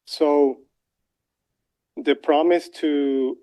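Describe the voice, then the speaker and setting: A young man from Venezuela with a Spanish accent, telling a personal story as a lecturer, halting with many fillers and restarts. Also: on the high side, fairly even intonation, mellow.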